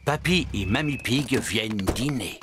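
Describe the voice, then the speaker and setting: A woman giving clear, scripted storybook narration for a children's cartoon. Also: very deep voice, lively intonation, a little slow, background noise.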